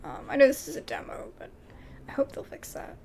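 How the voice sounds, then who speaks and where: A woman's voice: fairly quick, darkish tone, slight background noise. A woman doing casual video game commentary and reading dialogue aloud, with informal, exclamatory asides.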